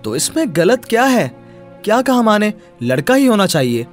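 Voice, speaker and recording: A man's voice: sits high, big pitch swings, normal speed, soft background music. A man voicing a dismissive, insistent husband in a moral story. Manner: blunt, insistent. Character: dismissive husband siding with his mother.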